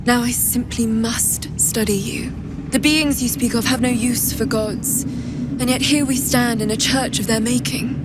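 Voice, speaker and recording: Slow, scheming dark-elf trader played by a woman. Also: a little high, close to an everyday voice.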